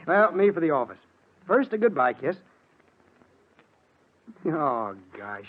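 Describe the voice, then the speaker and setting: A jovial young husband in an old radio drama. His high voice bounces around with teasing affection. It comes through a tinny old recording. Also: sing-song, slow pace, exaggerated voice. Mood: breezy, teasing. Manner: breezy, teasing. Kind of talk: old-time radio melodrama acting.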